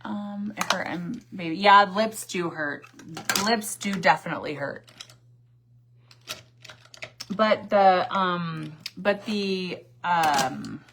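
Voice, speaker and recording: A woman's voice: lowish voice, slow pace, background noise. A woman in her forties chatting casually on a livestream while doing her makeup, sassy and chatty, answering viewer comments and laughing often. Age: 40s. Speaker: livestream host.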